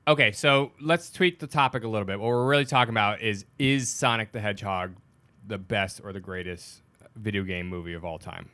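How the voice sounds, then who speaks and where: Sarcastic male co-host, bright and dry-miked. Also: on the low side, a little fast, no echo. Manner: sarcastic, needling, sweary. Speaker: podcast co-host. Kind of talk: casual banter.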